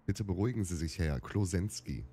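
A man playing a world-weary, embittered policeman with a husky voice in an audio drama. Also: sits low, lively intonation, brisk, dark tone, heavily acted. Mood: bitter, resigned.

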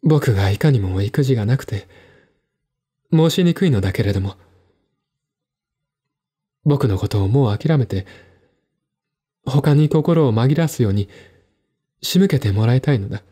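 A man voicing a melancholy, earnest, troubled gentleman who confides and pleads, in a one-man radio drama reading. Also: mid-range voice, expressive, normal speed, long pauses, husky. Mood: troubled pleading.

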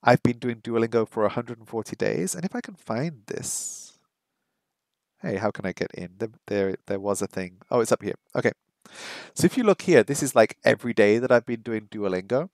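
A middle-aged man talks casually into a headset mic, close and dry. He chats to viewers, stops to sound out practice phrases slowly, and repeats words as he works through them. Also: medium pitch, lively intonation, vocal fry, a little mellow, no echo. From English first language, lives in Hong Kong. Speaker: streamer learning Japanese. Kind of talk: casual live-stream chat. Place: small home room by a window.

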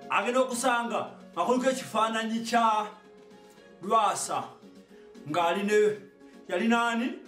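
A young Ugandan man hosting an online show, talking informally and comically to camera in an animated, exclaiming, repetitive way. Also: high voice, moderate pace, long pauses. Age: young adult. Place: small indoor studio with padded backdrop. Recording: roomy echo, distant microphone, music behind.

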